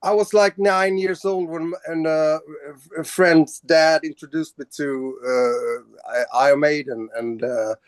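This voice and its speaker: A man's voice: fairly high pitch, steady tone, measured pace, clean tone, no room sound. A man from Sweden, a band's singer, answering interview questions casually, with many fillers and restarts. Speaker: band vocalist.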